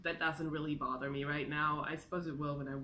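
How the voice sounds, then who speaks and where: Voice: medium pitch, flat delivery, fast, pushed and bright, a little hiss or hum. A native Hebrew speaker aged 32 talking casually and candidly in a personal vlog, with self-deprecating, jokey asides. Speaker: video blogger.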